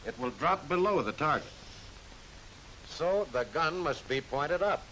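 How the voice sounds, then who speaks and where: American man, 1940s military film narrator, instructive, slightly high pitch, animated intonation, faintly hissy old soundtrack. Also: measured pace, poor audio. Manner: instructive, addressing the trainee directly.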